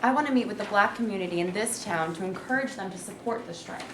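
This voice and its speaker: A woman's voice: mid-range voice, fast talker, natural voice. A woman reading an earnest, persuasive male labor activist in a staged play. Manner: insistent, persuasive. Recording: echoey room with faint noise.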